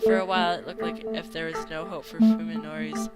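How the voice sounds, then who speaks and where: Woman reading aloud haltingly over music. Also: mid-range voice. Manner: halting, stumbles over names and words. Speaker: amateur video maker. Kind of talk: reading visual novel text aloud. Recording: music playing behind the voice. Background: game music.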